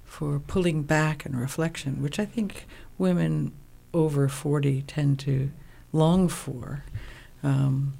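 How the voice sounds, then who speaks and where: A woman of sixty-eight explaining her work as an interview guest, in a measured, halting, thoughtful way. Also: low voice, fairly even intonation, gravelly, warm tone. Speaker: interview guest, co-founder of a women's program.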